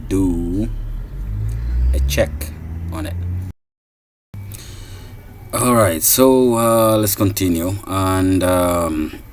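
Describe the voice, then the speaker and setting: A man's voice: deep voice, slight background noise. A man in his thirties from Somalia giving a casual coding tutorial, thinking aloud with many fillers and restarts. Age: 30s. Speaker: programming tutor. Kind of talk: screen-recorded coding tutorial.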